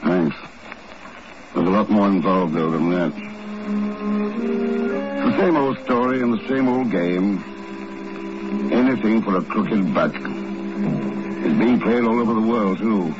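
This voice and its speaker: A man playing a shrewd, world-weary secret agent in a 1950s radio drama, deliberate and authoritative, on a muffled old recording. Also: on the low side, expressive, over music. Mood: weary, resolute.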